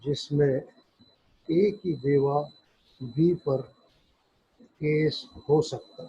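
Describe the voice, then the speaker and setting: A man with a Pakistani accent giving an explanatory law lecture, reading passages out deliberately, phrase by phrase, and explaining them. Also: a little high, steady tone, warm tone, slightly rough. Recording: dull, lo-fi audio.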